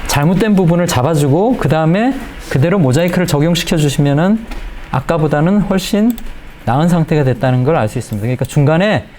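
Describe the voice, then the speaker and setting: Male tutorial presenter, middle-aged, voice a bit high, explains stepwise and checks in with questions. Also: lively intonation, quick pace, darkish tone, a little hiss or hum. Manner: explanatory, step by step, often checking with rhetorical questions. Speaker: video editing instructor. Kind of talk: spoken screen-recorded software tutorial.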